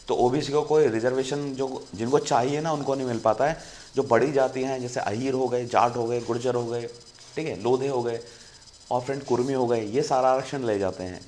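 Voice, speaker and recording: Male channel host, casual explainer, mid-pitched, conversational, light background noise. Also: mellow. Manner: conversational, keeps saying "friend" to viewers.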